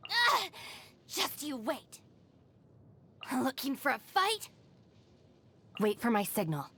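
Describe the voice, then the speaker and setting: A woman's voice: high voice, very animated, pushed and bright, breathy edge, heavily acted. An actress voicing a capable, no-nonsense young female gang deputy in a video game, matter-of-fact and dryly confident. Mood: fierce, battle-ready, then businesslike, composed. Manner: matter-of-fact, composed, brisk. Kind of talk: video game character voice lines.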